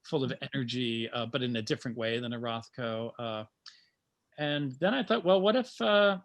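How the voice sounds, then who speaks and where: A man speaking informally as a guest playwright about his creative process, in a halting, thoughtful manner. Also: medium pitch. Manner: halting, thoughtful, chuckling asides.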